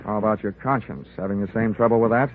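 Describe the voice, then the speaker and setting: An American man playing a wry, hard-boiled insurance investigator in a 1950s radio drama, with a clipped, deadpan, wisecracking delivery. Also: on the low side, fast, narrow, tinny audio, a little hiss or hum, close to an everyday voice. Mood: cool, probing. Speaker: lead actor and narrator. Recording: band-limited vintage radio recording, music behind.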